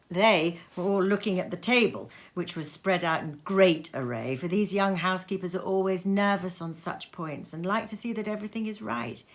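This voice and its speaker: Sex: woman. Role: narrator. Style: expressive storybook reading. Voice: low and bright. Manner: lively and theatrical. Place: a cozy sitting room at home. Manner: lively and theatrical, with many voice changes. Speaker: narrator reading a classic tale.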